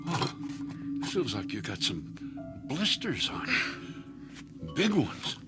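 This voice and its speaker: An actor playing an anxious, protective husband in a radio drama, with a halting, stammering, urgent delivery. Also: male voice, medium pitch, huge pitch swings, over loud music. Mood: relieved, concerned.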